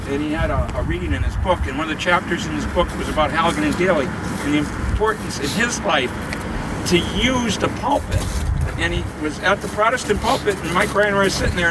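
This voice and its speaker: A man making informal, rambling remarks to a crowd outdoors in the cold at a ceremony. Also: on the high side. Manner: rambling, many fillers and restarts. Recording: distant outdoor recording, heavy noise.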